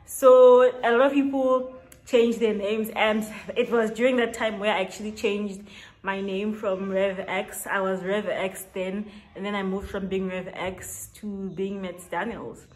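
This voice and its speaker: A woman's voice: mid-range voice, steady tone, measured pace. A woman in her late twenties chatting casually to camera in a get-to-know-me vlog, laughing often and stretching words for emphasis. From South Africa. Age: 20s. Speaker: YouTuber. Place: small indoor room with shelves.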